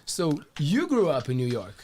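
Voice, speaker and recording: A man's voice: a little high, wildly swooping pitch, normal speed, a little mellow. A man from Brazil hosting a casual interview, friendly and curious, asking questions and laughing. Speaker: host.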